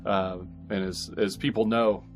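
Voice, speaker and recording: A man's voice: lowish voice, little pitch movement, unhurried, a little mellow. A middle-aged man with an American accent, talking casually as an interview guest in hesitant, meandering phrases. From northeastern California, United States. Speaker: podcast guest, author and pastor.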